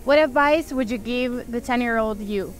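A woman's voice: a little high, normal speed, poor audio. A woman interviewer putting short, simple questions to a guest. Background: music.

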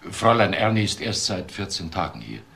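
Low gravelly manservant. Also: male voice, very animated, fairly quick, pushed and bright, exaggerated voice. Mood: hesitant, explanatory. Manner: hesitant, repeats words, explanatory. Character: male household servant and caretaker, deferential, hesitant. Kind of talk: German crime radio drama acting.

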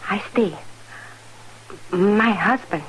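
Gentle young frontier wife played by a woman, extremely slow broken English, shy, vintage radio drama with faint noise. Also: mid-range voice, lightly acted. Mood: shy, willing. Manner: halting, simple broken English. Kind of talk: 1950s radio western acting. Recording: noisy vintage 1950s radio recording.

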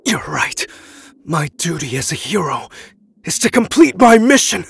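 A man's voice: a little high, big pitch swings, unhurried, vocal fry, slightly rough, heavily acted. A man voicing a serious, dutiful and righteous android superhero, earnest and formal, in an anime game cutscene. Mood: resolute.

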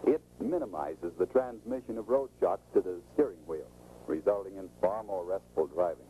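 A man's voice: deep voice, normal speed, dark tone, a little hiss or hum, poor audio. A middle-aged American man giving scripted, instructive, salesmanlike narration in the style of a 1940s training film, on an old film soundtrack. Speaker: automotive expert presenting a sales training film.

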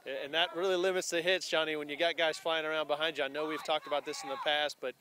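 A man's voice: on the high side, level tone, fast, background noise. A man interviewing two college baseball players after a game, asking rambling questions with restarts, outdoors beside the field. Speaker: postgame interviewer. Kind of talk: postgame interview.

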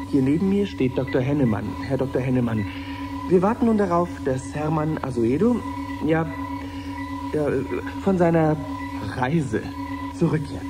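An actor playing an earnest live radio reporter in a radio play, formal, explanatory and expectant. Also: male voice, fairly high pitch, lightly acted. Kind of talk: German radio play acting as a live broadcast.

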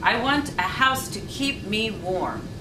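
A woman's voice: a little high, animated, takes it slowly. A woman voicing a needy, weary boy who is growing older, in a children's storybook reading. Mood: wanting, insistent. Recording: roomy, distant mic, faint noise.

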